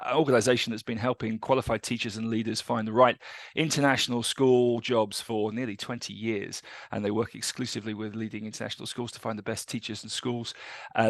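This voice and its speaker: A man hosting a casual podcast interview, chatty and halting with many restarts and fillers. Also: mid-range voice, a bit bright, no echo. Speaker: podcast host.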